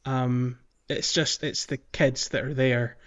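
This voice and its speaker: A man's voice: mid-range voice, little pitch movement, takes it slowly. A man co-hosting a podcast, chatting casually about a video game, recorded on a slightly muffled mic. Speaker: podcast co-host.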